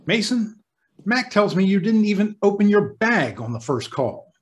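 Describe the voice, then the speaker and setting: An actor playing a courteous senior hospital doctor in an old-time radio drama, formal, halting and apologetic. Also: male voice, high voice, big pitch swings. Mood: concerned.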